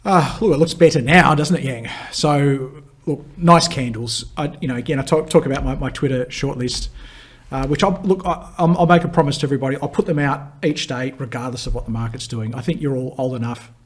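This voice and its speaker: A hoarse man who is losing his voice, hosting a live viewer Q&A and rambling through stock charts with lots of fillers and restarts. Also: medium pitch. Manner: chatty and rambling, many fillers and restarts, quick laughs. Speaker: market analyst hosting a viewer Q&A. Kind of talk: live chart commentary answering viewer questions.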